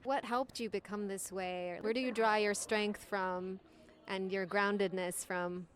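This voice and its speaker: A woman's voice: medium pitch, fairly even intonation, measured pace. A woman hosting a podcast, asking interview questions in an encouraging way.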